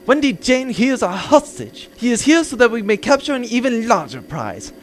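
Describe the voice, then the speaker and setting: A man's voice: extremely high pitch, very animated, normal speed. A man voicing a pompous, jealous, hot-tempered platypus bounty hunter in a theatrical, indignant comedy audio drama style.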